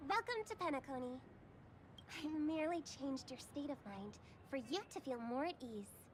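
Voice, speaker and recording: A woman playing a gentle, reassuring young dreamworld hostess in a video game, soothing and polite. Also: high voice, expressive, moderate pace, noisy background. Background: game music.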